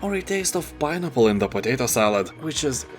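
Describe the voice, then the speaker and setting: A man's voice: mid-range voice, big pitch swings, quick pace, soft background music. A man narrating a scripted game roundup as host, dropping wry joking asides.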